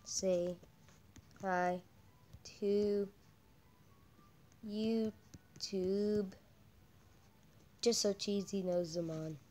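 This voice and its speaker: A woman doing casual, halting game commentary as a channel host, her voice croaky from a cold. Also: medium pitch, steady tone, dark tone. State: croaky with a cold, coughing and throat-clearing.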